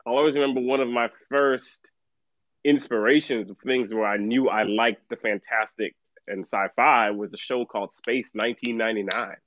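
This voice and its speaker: A middle-aged man from Trinidad, a writer, talking about his work in a relaxed way and laughing often. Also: mid-range voice, moderate pace, dry recording, dull audio. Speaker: author guest. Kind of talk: casual podcast conversation.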